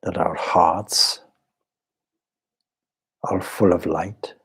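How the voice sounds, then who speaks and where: A man leading a guided meditation as a teacher, speaking in measured, deliberate short phrases with pauses and a soft, husky voice. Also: low-pitched, fairly even intonation. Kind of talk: guided meditation, slow spoken instruction.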